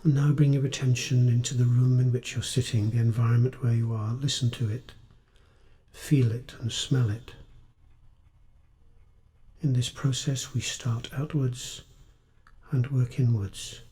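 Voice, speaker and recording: Mellow-voiced man guiding meditation. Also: mid-range voice, flat delivery. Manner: gentle, soothing, unhurried delivery with pauses. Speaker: meditation teacher. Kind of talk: guided meditation.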